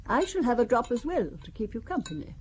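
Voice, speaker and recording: A mellow, genteel elderly widow. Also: female voice, fairly high pitch, fast talker, a little hiss or hum. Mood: gracious. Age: older. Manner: genteel, gracious, flattering. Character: elderly genteel French widow, gracious, coquettish hostess. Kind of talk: radio drama acting.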